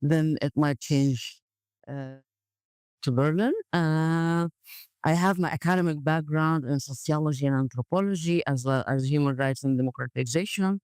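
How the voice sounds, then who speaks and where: A woman's voice: low voice, normal speed, darkish tone, smooth, no echo. A woman from Gaza, answering interview questions in a blunt, matter-of-fact way. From Gaza, Palestine. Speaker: podcast guest, community development worker.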